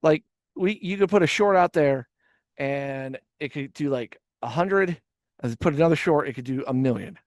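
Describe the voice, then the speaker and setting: A man's voice: on the high side, normal speed, dry recording, clean studio sound. A man hosting a casual reaction podcast, rambling with stammered restarts and swearing. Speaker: podcast host.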